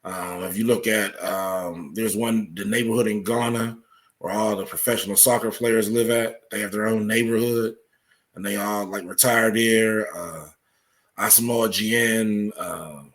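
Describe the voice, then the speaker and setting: A man's voice: on the low side, steady tone, a little slow, a bit bright. A man answering questions as a podcast guest, explaining his points in a conversational way. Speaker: podcast guest, article author. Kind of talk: interview answers, explanatory talk.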